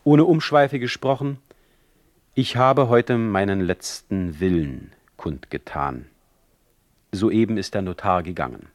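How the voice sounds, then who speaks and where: A man's voice: on the low side, animated, slow pace, no echo, clean studio sound. An actor playing an older, sickly, jealous and eloquent male poet in a radio play, reading out his formal letters with ornate, theatrical emphasis. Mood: solemn.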